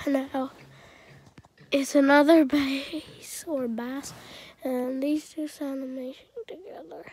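A high-voiced woman talks slowly and casually, her voice stuffy from a cold. She rambles and clears her throat as she reviews a music game, filmed on a phone at home. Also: steady tone.

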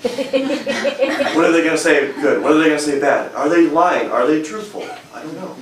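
A man's voice: a little high, expressive, moderate pace, crowd noise. Young man with a strong, emphatic voice. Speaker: speaker at a public speaking club. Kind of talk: prepared speech with humor. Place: hotel meeting room. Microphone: far camera. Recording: echoey room from far off.

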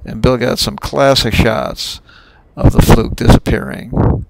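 An older man, a sailing captain, narrating his own trip video in a relaxed, folksy way with some fillers. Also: mid-range voice, a little slow, vocal fry, a little hiss or hum, poor audio.

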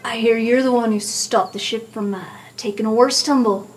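A man's voice: very high voice, fairly even intonation, moderate pace. Woman putting on a blustering male pirate captain. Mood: dry, measured. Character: gruff hearty bearded pirate spaceship captain, commanding old-salt bluster. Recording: music behind the voice.

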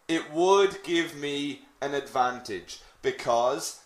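A man's voice: a little high, slow, roomy sound. An Irish man teaching a lesson on CV writing, chatting with the class and checking in with frequent "okay?" questions. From Ireland. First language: English. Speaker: English teacher.

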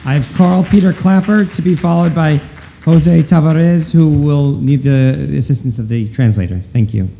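A man's voice: a little high, moderate pace, gravelly, mellow, some chatter behind. A man making brief procedural announcements as the chair of a public hearing in an auditorium. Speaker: hearing chair calling speakers. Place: large auditorium. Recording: reverberant hall with background noise.

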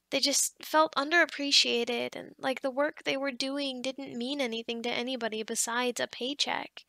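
A woman acting a kind, encouraging office boss in an ASMR roleplay, speaking softly and conversationally with hesitant pauses and sighs. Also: sits high, creaky, dry recording, full character voice.

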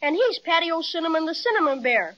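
A woman's voice: very high-pitched, sing-song, quick pace, a bit bright, exaggerated voice. A woman voicing an eager, plucky young boy in a 1930s radio drama. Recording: muffled 1930s radio transcription.

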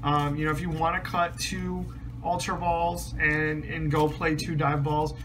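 A man's voice: on the high side, level tone, unhurried, fairly bright tone, a little hiss or hum, poor audio. A man casually explaining a trading card deck, rambling with many ums and restarts, his voice a bit strained. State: slightly losing his voice, clears throat. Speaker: card game deck reviewer.

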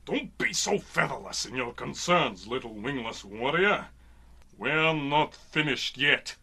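Male actor as a winged warrior, sharp raspy voice, slow formal delivery, proud, radio serial. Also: mid-range voice, expressive, full character voice. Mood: puzzled, proud. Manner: formal, theatrical. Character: proud, fierce winged bird-man warrior, archaic speech. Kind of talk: old-time radio serial acting.

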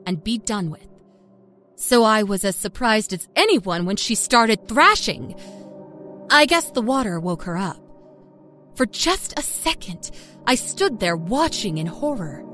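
A woman acting a wry, unrepentant young killer, lively. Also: fairly high pitch. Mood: cold, matter-of-fact. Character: cold, matter-of-fact small-town young woman confessing to murder. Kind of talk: first-person dramatic horror story narration. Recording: music under the voice.

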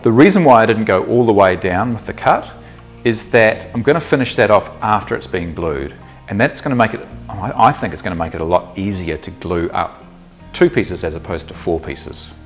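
A man in his thirties with a New Zealand accent, explaining a woodworking project step by step in a workshop, relaxed and thinking aloud with the odd um. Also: lowish voice, moderate pace, soft background music. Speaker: woodworking instructor. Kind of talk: workshop tutorial. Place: small indoor workshop.

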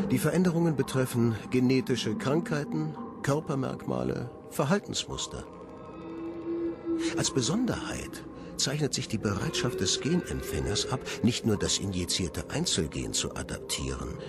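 An actor playing an articulate scientist presenting research in a radio drama, formal and declamatory, as if reading a report. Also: male voice, medium pitch. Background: loud music.

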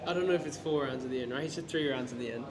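A man's voice: medium pitch, rapid-fire. A young man chatting in a gym vlog, doubtful and joking, swearing freely. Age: young adult. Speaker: vlogger. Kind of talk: casual gym vlog chat. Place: indoor gym lounge.

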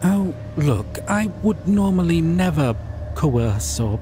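A man voicing a shy, caring male dragon in an ASMR roleplay, talking softly and reassuringly. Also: a little high, big pitch swings, soft background music. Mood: gentle. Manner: soft, reassuring, slow and hesitant. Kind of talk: ASMR roleplay audio drama.

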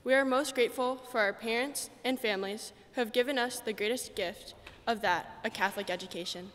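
High teenage girl's voice, church reverb. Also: a little fast, fairly bright tone, a little hiss or hum. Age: teenager, about 14. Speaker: graduating student speaker. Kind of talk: reading a prepared ceremony speech. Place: large church, indoors. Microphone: podium microphone. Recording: reverberant church podium recording.

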